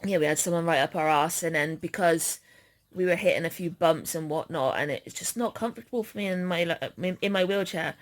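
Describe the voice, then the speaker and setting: A woman's voice: fairly low pitch, a little fast. A woman vlogger chatting casually in a giggly way. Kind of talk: casual chat.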